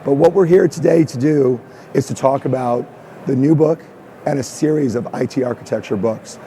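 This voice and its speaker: A man's voice: mid-range voice, moderate pace, mellow, a little hiss or hum. A man with a strained, fading voice, welcoming the audience and introducing a panel on a conference stage. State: losing his voice. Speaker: host and author.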